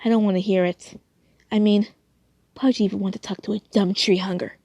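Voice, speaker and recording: A woman's voice: medium pitch, fairly even intonation, brisk, gravelly, darkish tone, dry recording, dull audio. A woman voicing an impulsive, easily hurt young male character in a fan story, with a whiny tone.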